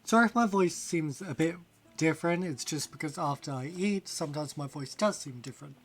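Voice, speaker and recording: A man's voice: fairly high pitch, brisk, faint music behind. A man chatting casually on a game stream, rambling, laughing often and reading chat aloud. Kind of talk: casual live-stream chat while gaming.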